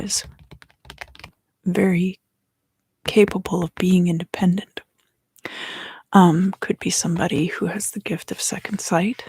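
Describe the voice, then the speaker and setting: A woman giving an ASMR tarot reading in a hushed, breathy whisper, gently and with pauses. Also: fairly low pitch, no echo. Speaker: tarot reader and host.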